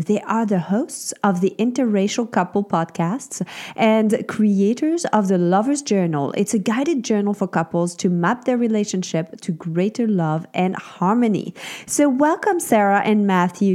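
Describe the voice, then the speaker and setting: A woman from Switzerland co-hosting a relationship podcast, chatting in a playful, teasing way with animated asides. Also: medium pitch, moderate pace. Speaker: podcast co-host.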